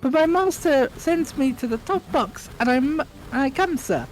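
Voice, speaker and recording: A woman voicing a timid female house elf servant with a high, quivering squeak and broken, deferential grammar. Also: animated, unhurried, low-quality recording. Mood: timid servile.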